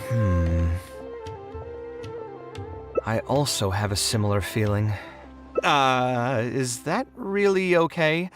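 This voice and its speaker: An actor playing a frank, articulate, slightly exasperated architect in video game dialogue. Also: male voice, medium pitch, expressive, slow, long pauses, music in the background. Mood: skeptical. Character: adult male architect, frank, weary, slightly exasperated professional.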